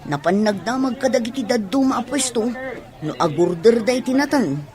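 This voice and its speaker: An actress playing a sweet, devoted young pregnant wife in a family drama. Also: female voice, fairly high pitch, lively intonation, moderate pace, close to an everyday voice. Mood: affectionate, calm.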